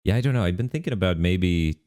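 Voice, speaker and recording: Man, very deep bassy voice, laid-back rambling stream commentary, dry close mic. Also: quick pace, clean tone, no echo. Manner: relaxed, rambling, filler-heavy talk. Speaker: game streamer. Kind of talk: casual live-stream commentary. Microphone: studio microphone through an audio interface.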